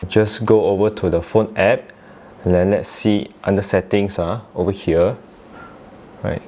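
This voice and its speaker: Malaysian guy with a low voice walking thru software steps, casual how-to style. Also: steady tone, a little slow, a little hiss or hum. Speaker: tech tutorial presenter. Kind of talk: casual step-by-step software tutorial.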